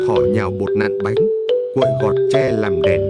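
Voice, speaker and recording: Male narrator for a scripted legend, slightly low pitch, heavy instrumental music bed behind him. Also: normal speed. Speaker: storyteller. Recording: loud music under the voice. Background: loud instrumental music.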